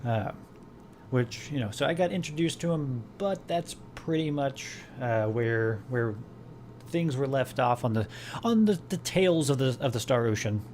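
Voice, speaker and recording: A man's voice: medium pitch, lively intonation, moderate pace, darkish tone, slight background noise. A man hosting a podcast in casual chat, rambling with many fillers and restarts. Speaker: podcast host.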